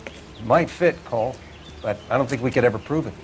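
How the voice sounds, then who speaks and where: A man's voice: mid-range voice, a little fast, a little hiss or hum, natural voice. An actor playing a plain-spoken male official at a crime scene in a 1940s detective video game. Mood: grim.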